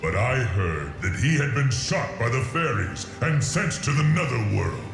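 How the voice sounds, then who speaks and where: A man's voice: medium pitch, very animated, measured pace, vocal fry. A man voicing a proud, vengeful dragon in a fantasy game, theatrical and menacing. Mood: scornful. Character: vengeful proud male dragon, friend of a slain dragon. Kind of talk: dramatic fantasy game acting. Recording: echoing voice over loud music.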